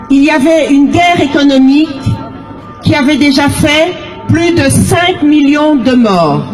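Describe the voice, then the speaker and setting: A woman from the Democratic Republic of the Congo giving an impassioned public speech as an activist, shouting her words slowly and emphatically. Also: high-pitched, low-quality recording. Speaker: activist speaker. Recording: crowd and music audible behind. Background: crowd noise and music.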